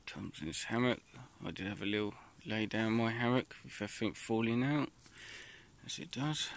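Low, gravelly British man vlogging outdoors. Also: moderate pace. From United Kingdom. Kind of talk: casual vlog chat. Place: outdoors in woodland. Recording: noisy handheld outdoor recording.

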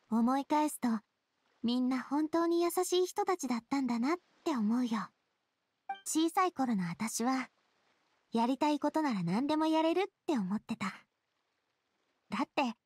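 A woman playing a spirited, kindhearted young lady in an anime game, speaking in a casual, feminine, expressive way. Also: sits high, breathy edge, dry recording, exaggerated voice. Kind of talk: Japanese anime game voice acting. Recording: music bed behind the voice.

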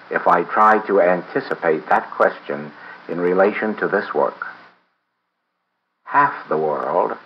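Deep-voiced man reading aloud, measured. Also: lo-fi sound, a little hiss or hum. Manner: measured, deliberate phrasing with frequent pauses. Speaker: reader of a book. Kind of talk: read-aloud audiobook of a spiritual text.

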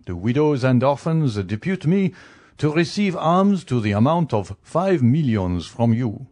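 A man's voice: mid-range voice, big pitch swings, unhurried, a little mellow, pitched above the performer's own voice. A man voicing a courteous, formal male official in an audiobook. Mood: formal, insistent. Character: formal, polite male official collecting for charity. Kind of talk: audiobook character voice.

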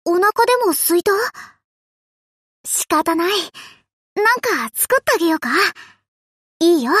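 A woman's voice: very high-pitched, big pitch swings, unhurried, a bit bright, dry recording, clean studio sound, exaggerated voice. A woman voicing a cheerful, affectionate, boyish young ship girl in an anime game, with a playful, casual tomboyish way of talking. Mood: playful, caring. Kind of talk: anime game character voice lines.

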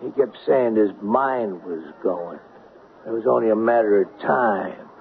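An actor playing a down-and-out barfly in a 1970s radio drama, folksy and hesitant, cadging drinks with rough grammar. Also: male voice, on the low side, measured pace. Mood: hesitant, sympathetic. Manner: hesitant, folksy, uneducated phrasing. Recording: muffled, faintly noisy 1970s radio recording.

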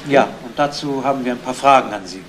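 Male actor as dry police detective, politely persistent, mid-pitched, retro radio crime play with faint noise. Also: fast, close to an everyday voice. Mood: insistent. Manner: matter-of-fact, probing questions. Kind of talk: 1970s German radio crime drama acting.

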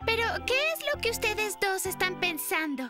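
A woman's voice: extremely high pitch, animated, normal speed, music in the background. A woman voicing a friendly, curious young girl dinosaur in a cartoon dub, earnest and wordy, with a Latin American accent. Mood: puzzled, wishful. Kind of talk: Spanish cartoon dub acting.

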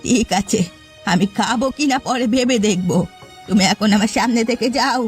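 A woman's voice: on the high side, normal speed, soft background music, natural voice. Woman acting a stern, haughty middle-aged mother-in-law. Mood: accusing, cold. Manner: scolding, haughty, blunt. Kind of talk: cartoon story character acting.

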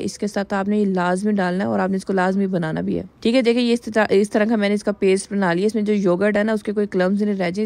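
A woman's voice: medium pitch, a little mellow, no echo. A woman in her thirties hosting a home cooking vlog, chatting through each recipe step with lots of little check-in questions. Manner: chatty, step-by-step instructions with frequent tag questions. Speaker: cooking channel host. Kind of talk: voice-over cooking tutorial.

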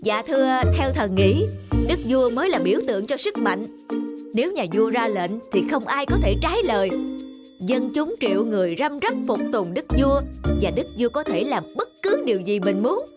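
Casting: a woman as a humble, formal royal guard in an animated tale, upper-register voice. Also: a little high, normal speed. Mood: flattering. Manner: formal, deferential. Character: deferential male palace guard arguing his case. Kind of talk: animated folktale acting. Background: background music.

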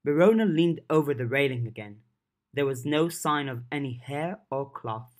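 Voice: medium pitch, expressive, normal speed. A narrator reading a fiction audiobook from a script.

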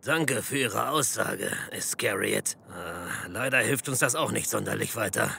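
An actor playing a pompous, disdainful police captain in a comedy audio drama, speaking stiffly and formally. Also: male voice, on the low side, creaky, bright, forceful tone, slight background noise, exaggerated voice. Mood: stiff, businesslike. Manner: stiffly formal, haughty, hesitant pauses.

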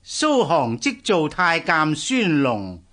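A man's voice: fairly high pitch, huge pitch swings, fairly bright tone, heavily acted. A male actor playing an obsequious palace eunuch in a historical radio drama, speaking Cantonese with a Hong Kong accent. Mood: fawning, eager. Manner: obsequious, deliberate. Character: fawning palace eunuch reporting to his emperor.

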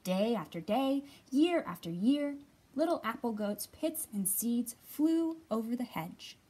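A woman's voice: on the high side, animated, measured pace, dark tone, husky. A woman in her thirties hosting a children's storytime, reading and talking expressively to young listeners as a librarian. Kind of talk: picture book read-aloud and storytime talk for young children.

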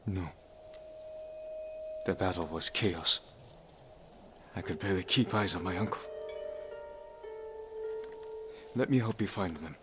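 An actor playing an honourable samurai lord in a game, stoic and solemn, with restrained, measured speech. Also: male voice, low-pitched, noisy background, lightly acted. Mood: sombre, consoling. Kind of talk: dramatic game acting.

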